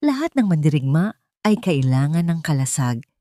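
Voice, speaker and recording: A gentle young Filipino woman, voiced by a female narrator, coaxes a child with simple, encouraging words. Her voice is low and mellow, in a dry audiobook recording. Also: sing-song, normal speed, dry recording.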